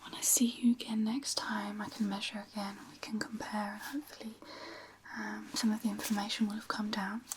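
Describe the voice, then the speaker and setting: A woman speaking in a soft, breathy whisper, gently explaining each step as a physiotherapist in an ASMR medical roleplay. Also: mid-range voice, little pitch movement, a little fast, poor audio. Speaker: ASMR performer playing a physiotherapist.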